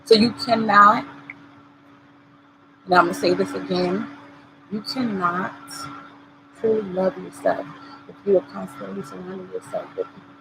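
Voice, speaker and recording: A woman with a cold-hoarsened voice hosting a motivational talk, speaking earnestly and encouragingly and repeating her key points. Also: mid-range voice, expressive, moderate pace, long pauses, background noise. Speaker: host. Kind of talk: motivational talk show monologue. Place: at home.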